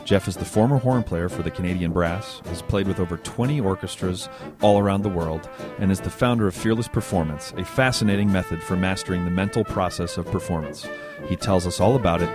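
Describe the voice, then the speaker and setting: A man hosting a podcast interview, joking and relaxed, with many fillers. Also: low-pitched, fairly quick, over music. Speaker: podcast host. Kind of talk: casual interview chat.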